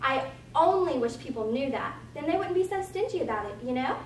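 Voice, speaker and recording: A woman's voice: sits high, lively intonation, a little fast. A woman playing Alice as a polite, curious young girl in readers theater, in a childlike, musing manner. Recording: echoey room, distant mic, noisy.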